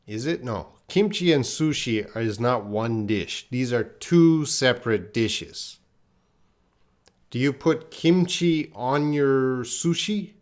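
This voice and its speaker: A man's voice: medium pitch, expressive. A man from Canada teaching an English grammar lesson live online, explaining patiently and reading out viewers' comments. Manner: slow, patient explaining, reads chat comments aloud.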